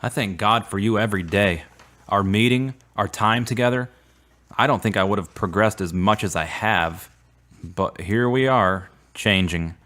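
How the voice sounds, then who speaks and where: An actor as an earnest, low-voiced man. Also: normal speed, a bit bright, lightly acted. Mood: earnest, wistful. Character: earnest, devout man saddened by a fading romance. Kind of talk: radio drama dialogue.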